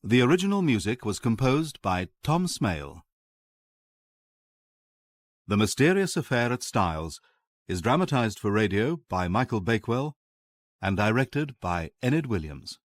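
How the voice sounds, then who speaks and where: A man's voice: medium pitch, sing-song, a little slow, long pauses, no echo, clean studio sound. A man reading formally as a radio drama announcer. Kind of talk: formal broadcast credits reading.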